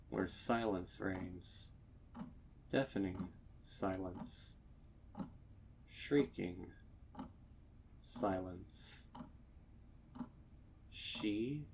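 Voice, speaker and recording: A man narrating a horror story from a script, dramatically, with deliberate pauses and ominous emphasis. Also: fairly low pitch, darkish tone, a little hiss or hum. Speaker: fan fiction narrator.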